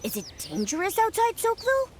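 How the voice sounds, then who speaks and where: A woman voicing a small, cute, excitable creature sidekick in a video game, with a squeaky voice and playful delivery. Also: a little fast, slight background noise, heavily acted. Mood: excited, curious. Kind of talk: video game character dialogue.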